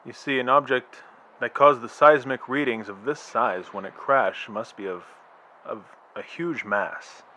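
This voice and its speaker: An actor playing a male astronomer who explains things in a measured, slightly hesitant way. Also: medium pitch, bright, forceful tone, a little hiss or hum. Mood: reassuring. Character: calm, reassuring male astronomer.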